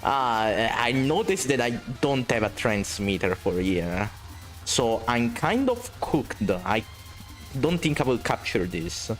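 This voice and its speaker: Excitable Italian-accented male game streamer. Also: medium pitch, takes it slowly, soft background music. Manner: excitable, swearing, reading chat aloud. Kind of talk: casual gameplay commentary.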